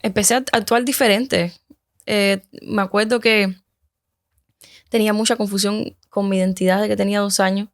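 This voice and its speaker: A woman from Cuba in her thirties, telling her personal story as a guest in a casual conversation, halting and hesitant with many restarts. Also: medium pitch, fairly even intonation.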